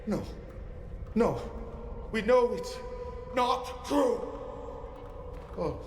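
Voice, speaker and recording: An actor playing a fanatical, grief-maddened cult leader in a video game, delivering a philosophical, declamatory monologue. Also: male voice, sits high, lively intonation, very slow, heavily acted. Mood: anguished denial. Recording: echoey space, loud ambient noise.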